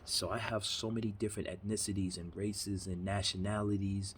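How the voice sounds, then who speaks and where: Earnest rambling man outdoors, low voice. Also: flat delivery, brisk, slight background noise. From New York. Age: 30s. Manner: earnest, rambling, repeats himself. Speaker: vlogger. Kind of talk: motivational monologue. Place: backyard outdoors.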